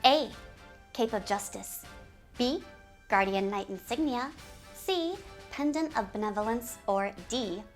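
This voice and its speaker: A woman hosting a game community video series, speaking to viewers in an animated, giggly, presenter's way. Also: a little high, a little slow, long pauses, faint music behind. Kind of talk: upbeat scripted video presenting with ad-libbed asides.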